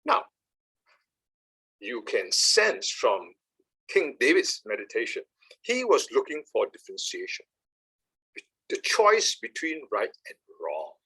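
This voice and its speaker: A man, giving an animated, emphatic talk on meditation and often checking in with "all right?". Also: on the high side, breathy edge, rough recording. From Malaysia. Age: 70s. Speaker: speaker on meditation. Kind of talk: talk with slides.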